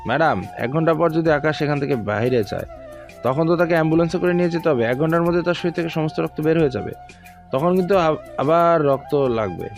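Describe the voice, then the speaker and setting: A man's voice: fairly high pitch, fast, natural voice. A man playing a concerned, reproachful character who protests and pleads in an audio story. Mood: worried, pleading. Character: concerned, protesting men: boss's father and office manager. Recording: music under the voice.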